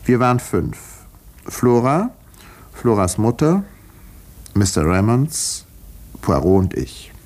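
Doctor-narrator for 1950s radio mystery: lowish, very animated intonation, dry, observant, ironic companion to a detective. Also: male voice, exaggerated voice. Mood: matter-of-fact. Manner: dry, ironic, measured storytelling. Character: male English country doctor, wry, observant detective's companion. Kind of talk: 1950s German radio play acting and narration.

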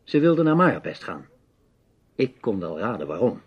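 An actor playing a serious, probing male investigator, measured and insistent, in an old Dutch radio play with a dull sound. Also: lowish voice, big pitch swings, slightly rough, full character voice. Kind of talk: radio drama acting in Dutch. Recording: dull, band-limited old radio recording.

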